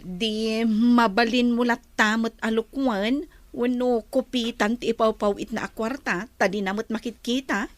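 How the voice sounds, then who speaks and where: A woman's voice: fairly high pitch, fairly even intonation, a little slow, no room sound, close to an everyday voice. A woman playing a skeptical, teasing woman with an Ilocano accent in a radio drama. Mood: curious, skeptical.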